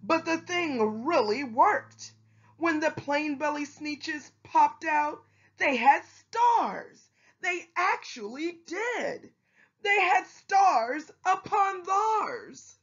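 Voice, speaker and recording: A woman reading a rhyming children's storybook aloud in an expressive narrating style. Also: sits high, very slow, dull audio. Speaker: storybook reader.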